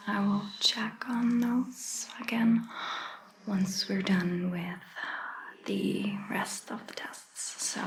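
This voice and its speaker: A woman playing a calm, caring vampire doctor in a soft ASMR roleplay, whispering gently and reassuringly with long pauses. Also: medium pitch, a little hiss or hum. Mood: calm, attentive.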